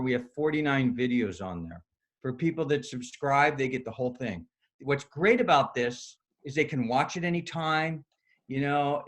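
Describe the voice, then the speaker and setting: A middle-aged man, a yoga studio owner, giving a loud, upbeat, chatty screen-share talk over a video call, with lots of "right?" tag questions. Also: mid-range voice. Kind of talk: casual screen-share presentation.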